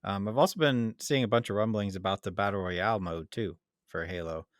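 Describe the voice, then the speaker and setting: Male co-host, slightly deep, casual and hedging as he thinks aloud, very dry sound. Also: brisk, no echo. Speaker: podcast co-host. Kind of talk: casual gaming chat.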